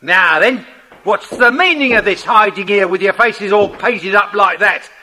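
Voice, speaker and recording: A man playing a rough, uneasy criminal sidekick in a children's audio drama. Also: high-pitched, measured pace, sharp tone, exaggerated voice. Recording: noisy old cassette.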